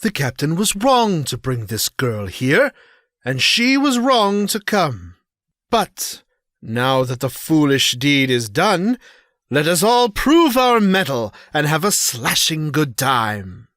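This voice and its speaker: A stately, ruthless prince of knives , played by a man, speaks with haughty care in a storybook drama. The male voice is fairly high, slow and richly varied in pitch. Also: very animated, a bit bright, no room sound, studio quality, exaggerated voice. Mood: haughty relish.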